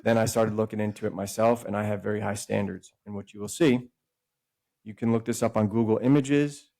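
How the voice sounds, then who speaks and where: A man's voice: fairly low pitch, fairly even intonation, vocal fry, mellow. A man talking as a podcast guest and author, explaining at length in a discursive way and often stopping to correct himself. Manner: discursive, self-correcting, speeds up and slows down. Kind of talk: casual explanatory conversation.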